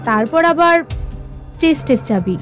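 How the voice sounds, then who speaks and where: Casting: a sharp-minded, well-mannered young investigator in radio drama; high pitch, faint music underneath. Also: female voice, animated, measured pace. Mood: deductive. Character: young woman detective, sharp-minded, confident, polite. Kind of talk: Bengali radio drama acting.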